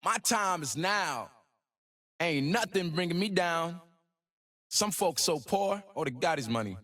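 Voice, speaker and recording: A man's voice: a little high, lively intonation, measured pace, fairly bright tone. An actor voicing a cocky, ambitious young male hip-hop star in a video game, with swaggering, slangy, rhythmic delivery. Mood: confident, driven. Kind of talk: game voice lines.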